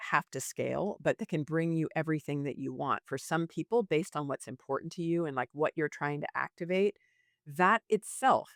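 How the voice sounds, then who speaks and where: Clear-voiced woman in her late fifties. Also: deep voice, dry recording, clean studio sound. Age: late 50s. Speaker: podcast guest, business coach. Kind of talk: conversational interview, storytelling and advice.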